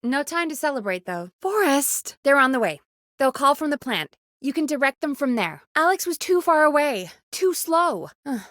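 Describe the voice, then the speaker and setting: A woman producing a radio show in a video game. Her voice sits high and is recorded close and dry. She is earnest and supportive, with a wry, easily exasperated edge to her natural, expressive delivery. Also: moderate pace, no room sound. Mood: regretful, dejected. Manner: conversational, expressive, dryly exasperated.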